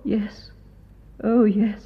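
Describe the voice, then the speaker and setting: A bereaved English lady in a radio drama, near tears yet dignified. Her refined voice is husky and slow, hesitant between phrases. The recording is slightly muffled. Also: medium pitch, level tone, full character voice. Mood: shaken, grieving.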